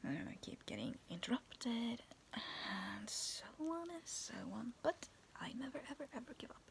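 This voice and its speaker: A young woman vlogging in a soft, raspy whisper, talking to her viewers to camera and telling a spooky story, giggling now and then. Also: on the high side, sing-song, moderate pace. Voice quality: raspy, cracking voice. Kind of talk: whispered casual vlog with a ghost story. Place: dim bedroom with fairy lights. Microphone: phone or webcam at arm's length.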